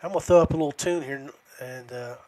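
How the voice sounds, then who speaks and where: A man hosting a podcast in a casual, folksy way, halting and often trailing off. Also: a little high, background noise. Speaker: podcast host. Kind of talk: casual livestream chat.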